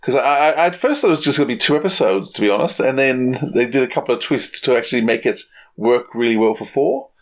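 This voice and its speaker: Mid-pitched man, halting casual podcast talk. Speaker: podcast co-host. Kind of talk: casual review chat.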